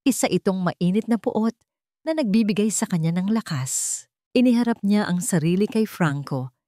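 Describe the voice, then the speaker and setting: A woman narrating a scripted romance story in a measured, dramatic way. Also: fairly low pitch, warm tone, no echo, clean studio sound.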